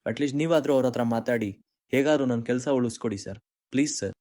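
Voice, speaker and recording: A man's voice: medium pitch, fast talker, darkish tone, no room sound, clean studio sound, lightly acted. A man with an Indian accent playing an earnest, dejected young employee, apologetic and hesitant, in short-film drama dialogue. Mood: pleading.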